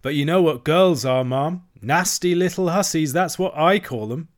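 A man's voice: a little high, moderate pace, pitched above the performer's own voice, close to an everyday voice. A man voicing a boastful male toad disguised as a washerwoman, in a raised put-on voice, airy and bragging. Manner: airy, bragging, then nervous.

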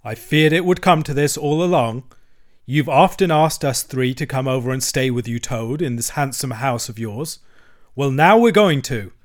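A man's voice: mid-range voice, expressive, normal speed, lightly acted. A man voicing a stern male badger from a storybook, solemn and commanding, given to speechifying. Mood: firm, commanding. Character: stern, oratorical male badger, gang leader. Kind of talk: storybook character voice.

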